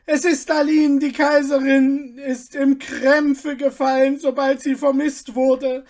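A chorus of palace servants pleads in a high, loud voice, as a storyteller puts them on. They are frantic and fearful. Their words come out slowly and strained. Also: flat delivery. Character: crowd of frantic palace slaves.

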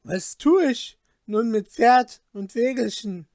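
A reader voicing a reckless young man, newly rich and boastful, talking loudly and in agitation. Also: medium pitch, slow pace, dry recording, studio quality, close to an everyday voice. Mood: despairing.

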